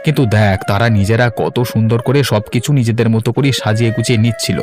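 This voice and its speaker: A man's voice: fairly low pitch, steady tone, fast talker, music in the background, lightly acted. A man voicing a young village husband in a cartoon, earnest, insistent and reasonable. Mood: appreciative. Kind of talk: cartoon voice acting.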